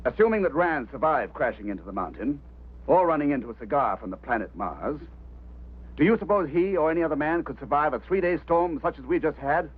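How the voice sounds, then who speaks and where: A domineering, sarcastic boss in a 1950s drama. He barks orders quickly, with a widely swinging, husky delivery. The old soundtrack sounds thin. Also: fairly high pitch, expressive. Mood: cold authority. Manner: curt, sarcastic, commanding. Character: brusque, skeptical, domineering male boss. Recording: tinny vintage soundtrack.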